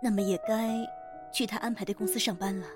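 A caring, gentle mother in a modern audio drama, played by a woman. She speaks at a medium pitch, tender and concerned, over clear background music. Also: fairly even intonation, brisk, natural voice. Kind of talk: Chinese audio drama acting.

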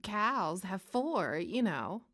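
A woman's voice: mid-range voice, sing-song, drawn-out words, fairly bright tone, no echo, studio quality, full character voice. A woman voicing a chirpy, know-it-all little girl party guest in a children's story.

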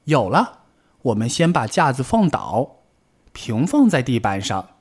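A man voicing a small excitable male rabbit in a storybook, with agitated, squeaky outbursts. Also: measured pace, breathy edge. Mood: eager.

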